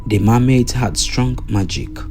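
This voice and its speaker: A man narrating a scripted folktale in a measured storytelling manner, in short phrases with pauses. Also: medium pitch, darkish tone, faint music behind.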